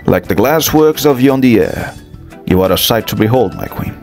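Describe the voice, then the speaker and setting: A man's voice: mid-range voice, very animated, moderate pace, darkish tone. A man playing an older, loyal knight and advisor in a game fan dub, speaking in a courtly way. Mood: courtly, warm. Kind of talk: fan dub voice acting of a fantasy story game. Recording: music behind the voice.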